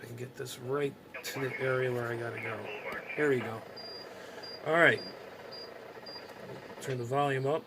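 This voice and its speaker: Man rambling casually over loud background noise. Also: mid-range voice, steady tone, moderate pace, vocal fry, pauses often. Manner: rambling, informal, mild swearing. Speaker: amateur radio hobbyist demonstrating gear. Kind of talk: casual off-the-cuff gear demo. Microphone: handheld camera microphone. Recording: loud radio static and hiss, handheld camera.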